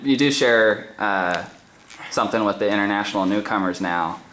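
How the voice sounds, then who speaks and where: A man interviewing college soccer players, asking measured, thoughtful questions. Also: on the low side, fairly bright tone, slight background noise, rough recording.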